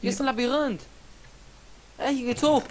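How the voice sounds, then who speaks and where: A woman's voice: sits high, normal speed, darkish tone. A young woman playing a game with friends, calling out short directions over and over in a playful way. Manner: repeats short calls, playful. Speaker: Let's Play gamer. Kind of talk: casual gaming chat.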